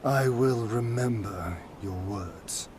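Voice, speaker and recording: An actor voicing a grave, resolute military leader in a video game, solemn and commanding. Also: male voice, fairly low pitch, slow, background noise. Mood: grave, urgent. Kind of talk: dramatic video game cutscene acting.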